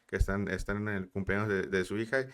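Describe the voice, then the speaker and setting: Low, bright, clear-toned male host, hesitant, filler-heavy questions. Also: level tone, a little fast. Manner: hesitant, many fillers and restarts. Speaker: interviewer host. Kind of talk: interview questions.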